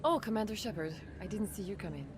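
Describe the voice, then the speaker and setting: An actress playing an anxious, gentle clinic doctor in a video game, speaking in an earnest, worried way. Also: female voice, mid-range voice, animated, fast, music in the background, lightly acted.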